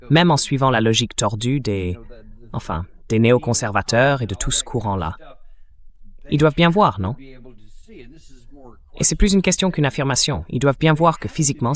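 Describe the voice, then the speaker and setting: A male interview host speaks in an AI-generated voice of average pitch. His style is conversational political talk, full of probing questions put with some incredulity. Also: expressive, moderate pace. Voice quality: AI-generated translation voice.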